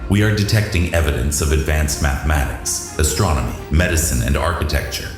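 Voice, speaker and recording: A man voicing a loyal aide to an alien god in an audio drama, deferential, measured, precise and formal. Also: a bass voice, voice pushed down. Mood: wary. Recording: echoey voice over loud music.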